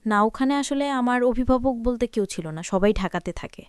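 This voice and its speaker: A woman's voice: fairly high pitch, moderate pace, clear, resonant tone, dry recording, studio quality. A woman of twenty-three with a Bangladeshi Bengali accent, telling her personal life story as an interview guest.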